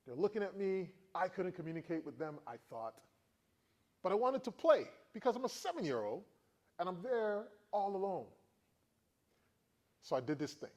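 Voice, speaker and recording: A man in his forties from the Virgin Islands, giving a motivational talk to students with animated storytelling and questions for the crowd. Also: high-pitched, normal speed, pauses often. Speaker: motivational speaker.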